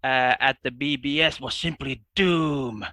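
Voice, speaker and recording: A man from Finland with a Finnish accent, a guest in a casual podcast chat, speaking haltingly with many restarts. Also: medium pitch, bright, forceful tone, dry recording, clean studio sound.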